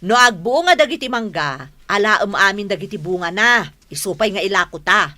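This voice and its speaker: A woman's voice: mid-range voice, animated, moderate pace, sharp tone. An actress with an Ilocano accent playing a scheming wife who urges her husband on in a radio drama. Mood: conniving. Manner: persuasive, conniving.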